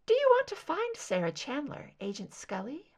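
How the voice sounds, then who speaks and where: Woman acting as a mysterious female captor, soft delicate soprano, high and airy, cryptic, unruffled, audiobook thriller character. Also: huge pitch swings, moderate pace. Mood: ominous, intent.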